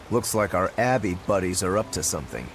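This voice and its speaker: A man's voice: on the low side, heavily acted. An actor playing a wry, laid-back swordsman in a fantasy video game. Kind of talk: game voice acting.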